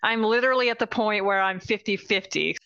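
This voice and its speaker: Aussie lady in her 40s, bit of texas in it, bright voice, dry lo-fi sound. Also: mid-range voice, a little fast, no room sound. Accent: Australian with a light Texan mix. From Australia. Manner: upbeat, laughs easily. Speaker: guest, horse industry director. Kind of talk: casual interview talk.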